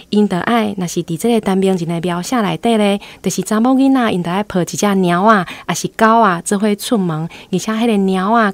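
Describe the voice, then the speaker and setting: A woman's voice: mid-range voice, no room sound. A woman with a Taiwanese accent giving explanatory literary commentary as a guest professor, using frequent tag particles.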